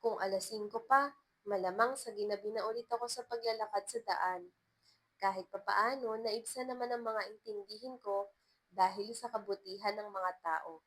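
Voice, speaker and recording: A woman's voice: mid-range voice, normal speed, a bit bright. Woman narrating a love story.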